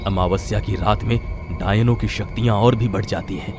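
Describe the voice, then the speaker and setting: A man's voice: on the low side, steady tone, normal speed, noisy background, close to an everyday voice. A man playing an old tantric holy man in a horror story, solemn and resolute, speaking emphatically. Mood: grave, urgent. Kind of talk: dramatic horror story acting.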